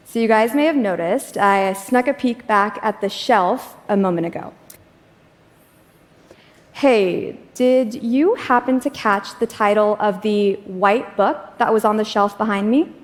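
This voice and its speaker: A woman's voice: medium pitch, measured pace. A woman presenting a live demo on a conference stage, talking in a friendly, conversational way. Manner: friendly, conversational, laughs easily. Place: large conference hall stage. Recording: long reverb of a large hall.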